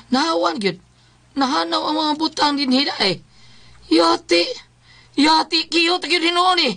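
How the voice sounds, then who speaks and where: A man's voice: very high voice, sharp tone, a little hiss or hum, heavily acted. A man playing a long-suffering, suspicious husband who complains and nags in a Filipino comedy radio drama. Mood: puzzled. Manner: complaining, nagging.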